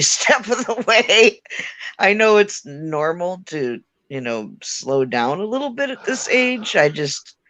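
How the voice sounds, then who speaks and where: A man's voice: mid-range voice, fairly bright tone, no echo. A man in his late forties hosting a casual live chat, upbeat and preachy about faith and aging. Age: 40s.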